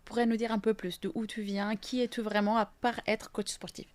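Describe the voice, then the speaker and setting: A woman's voice: on the high side, level tone, a little fast. A woman hosting a podcast, greeting her guest and asking informal, friendly questions. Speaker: podcast host. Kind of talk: casual interview questions.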